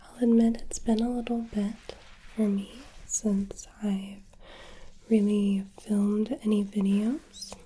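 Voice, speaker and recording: A woman whispering gently through a caring ASMR personal-attention roleplay, her voice a little hoarse and sniffly from hay fever. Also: medium pitch, little pitch movement, slow pace, slight background noise, rough recording. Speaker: ASMR creator.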